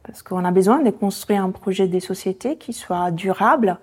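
A woman's voice: mid-range voice, moderate pace, a little mellow, slightly rough. A woman in her forties from Italy, speaking French with an Italian accent, thoughtfully answering interview questions with many hesitations. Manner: reflective, many hesitations with euh. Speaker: guest, founder of a language association. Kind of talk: conversational interview.

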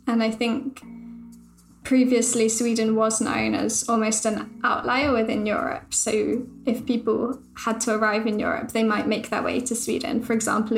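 A woman journalist on a podcast, calmly explaining a topic in a thoughtful, measured way. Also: fairly high pitch, creaky. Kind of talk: casual podcast discussion. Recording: roomy echo.

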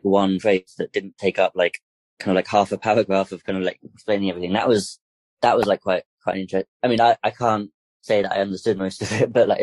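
Guy with a lowish voice rambling through an interview, dry close sound. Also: a little mellow, no echo, rough recording. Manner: rambling, full of fillers and restarts. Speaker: guest campaigner. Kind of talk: casual interview chat.

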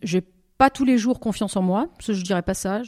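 A French-speaking woman talks about her singing in an interview. Her voice sits at a middling pitch. She speaks reflectively, pausing often and rephrasing as she looks for the right words. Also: dark tone. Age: over twenty. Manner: thoughtful, often restarts and rephrases. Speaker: singer, interview guest.

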